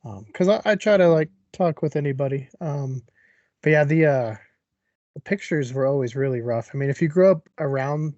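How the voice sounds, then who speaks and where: A man's voice: on the high side, moderate pace, darkish tone, no room sound, lo-fi sound. A man hosting an interview show in a casual, conversational way.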